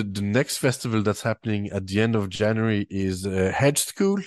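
A man's voice: lowish voice, dry recording, studio quality. A man from French-speaking Switzerland with a French Swiss accent, a musician chatting in an interview, full of fillers and restarts. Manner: hesitant, many fillers and restarts. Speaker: musician and guest. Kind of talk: relaxed interview chat.

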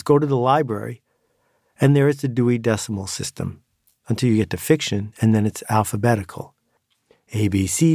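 Male podcast host, gravelly, dry studio sound, reflective monologue. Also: mid-range voice, a little mellow, no room sound.

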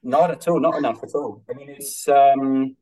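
A man's voice: mid-range voice, steady tone, moderate pace, dark tone. A man with a non-Irish accent speaking as a podcast guest in casual, hesitant, rambling interview chat. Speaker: podcast guest, Gaelic games club member.

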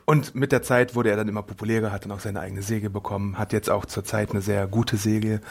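Fast-talking hoarse man, low voice. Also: little pitch movement. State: slightly hoarse from a cold. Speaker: podcast co-host. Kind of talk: casual film podcast chat.

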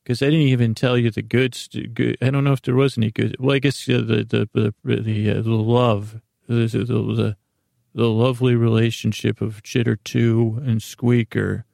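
A middle-aged man hosting a podcast with a silly, halting monologue that meanders and repeats itself as it drifts into tangents. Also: medium pitch, flat delivery, gravelly, darkish tone, dry recording, clean studio sound. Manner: silly, whimsical. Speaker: podcast host. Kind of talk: rambling comedic monologue.

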